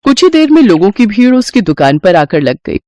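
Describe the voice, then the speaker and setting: Woman narrator, scripted storytelling, very lively intonation, dry close sound. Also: medium pitch, brisk, no echo, lo-fi sound.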